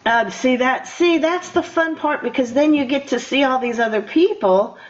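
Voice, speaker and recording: A woman's voice: high-pitched, moderate pace, a little hiss or hum. A woman hosting a radio show, interviewing a guest in a casual, chatty and conversational way. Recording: dull, lo-fi radio interview audio.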